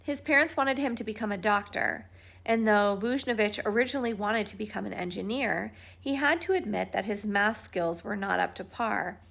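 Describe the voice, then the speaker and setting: A woman reading a history book aloud at home, at an unhurried pace and with small restarts. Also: medium pitch, vocal fry, a bit bright. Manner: firm, scolding talk to a pet between passages. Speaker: reader of a book.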